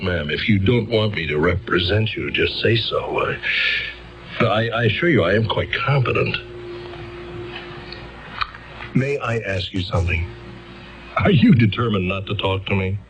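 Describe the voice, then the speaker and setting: An actor playing an earnest, exasperated male defense lawyer in a radio drama, courteous but halting and stammering. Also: lowish voice, muffled recording, natural voice. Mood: awkward, impatient. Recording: band-limited old radio drama recording.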